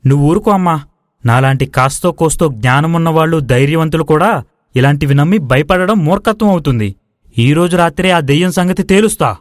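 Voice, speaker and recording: Casting: man as stubborn, skeptical young villager, argumentative storybook hero, slightly high voice, dry sound. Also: animated, a little fast, dry recording, natural voice. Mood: determined. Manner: assertive, argumentative. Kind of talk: acted moral-story dialogue.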